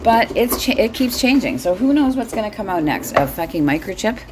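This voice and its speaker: A woman's voice: mid-range voice, sing-song, a little fast, background noise. A middle-aged woman chatting casually in a comedic monologue, blunt, wry and sweary, as the host of her own show. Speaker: solo host.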